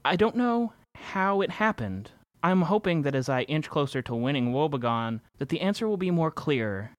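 A man's voice: on the high side, expressive, normal speed, no echo, natural voice. A man playing an anxious narrator who confesses to a reality-bending game, in an earnest, reflective first-person audio drama monologue. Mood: bewildered, afraid.

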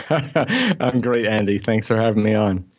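Low-voiced man, mixed British-Canadian accent. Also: expressive, a little slow, dull audio. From Scotland. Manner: chatty, laughs often, many fillers. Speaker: guest. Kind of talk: podcast interview.

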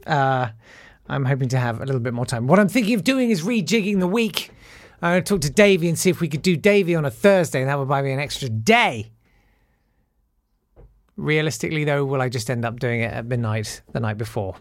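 A man's voice: mid-range voice, animated, fairly quick, no echo. Self-mocking man, dry close sound. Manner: self-deprecating, rambling with restarts and fillers. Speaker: YouTube host. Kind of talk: casual rambling monologue.

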